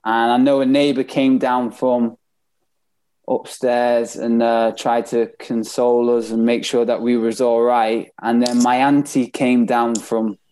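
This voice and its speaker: A man's voice: medium pitch, little pitch movement, measured pace, a little mellow, clean tone, low-quality recording. A man with a Manchester accent, speaking candidly and reflectively as he tells his life story as an interview guest. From England, born in Bath and later moved north. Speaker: interview guest, professional footballer.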